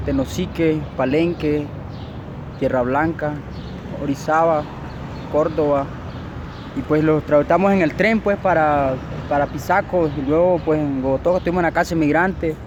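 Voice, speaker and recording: A man's voice: fairly high pitch, fairly even intonation, normal speed. Honduran man, muffled noisy roadside recording. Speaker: migrant interviewee.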